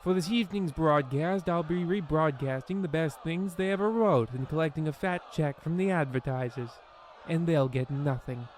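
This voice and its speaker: Male actor as grandiose old-time radio host, stingy and scheming, slightly high pitch, faint noise and murmur behind. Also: moderate pace, natural voice. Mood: smug scheming. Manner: theatrical, grandiose. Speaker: host of a radio play series.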